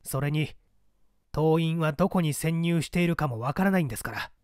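A man voicing a calm, shrewd police detective who reports methodically and politely in a dramatized audiobook. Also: a little high, a little fast, no echo, studio quality, natural voice. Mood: urgent, earnest.

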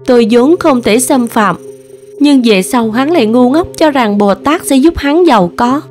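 A woman's voice: high voice, lively intonation, moderate pace, a little mellow, pitched above the performer's own voice. A thousand-year-old snake demoness acted by a woman in a cartoon legend. She speaks with bitter scorn while music plays behind. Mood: scornful confession.

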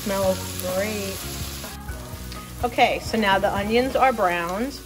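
A woman's voice: medium pitch, slow pace, poor audio. A middle-aged woman explaining a recipe step by step in a casual cooking demonstration. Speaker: cooking show host. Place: home kitchen, indoors. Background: music, frying and sizzling sounds.

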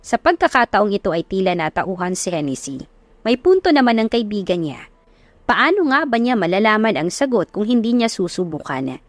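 A woman's voice: medium pitch, sing-song, normal speed, no room sound, faint music behind. A woman with a Filipino accent giving scripted narration of a love story as an audiobook narrator.

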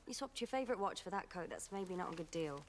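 A sharp, indignant young companion. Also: female voice, fairly low pitch, lively intonation, a little hiss or hum. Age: young adult. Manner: quick, teasing, indignant. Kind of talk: scripted TV drama acting. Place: period restaurant set.

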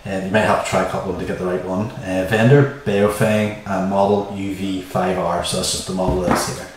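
A man's voice: low voice, little pitch movement, brisk. A man, giving a casual, plain-spoken how-to explanation as the host of a video. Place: small bare-walled room indoors. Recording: echoey small room, distant mic, faint noise.

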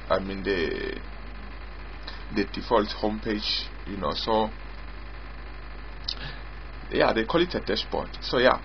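A man giving an informal screen-recorded software demo as its developer, in a halting way, often repeating and rephrasing. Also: on the low side, background noise. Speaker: software developer demonstrating own app. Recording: dull lo-fi mic with prominent music.

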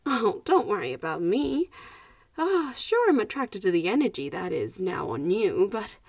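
A woman playing a teasing, haughty yet protective young vampire schoolgirl in a roleplay audio drama, speaking with deliberate phrasing and sighs. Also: mid-range voice, big pitch swings, gravelly, a little mellow, breathy edge, no room sound, studio quality, heavily acted. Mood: reassuring.

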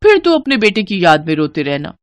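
Woman voicing a scheming, taunting young daughter-in-law, animated. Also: lowish voice, fairly quick. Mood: threatening. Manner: taunting, bossy.

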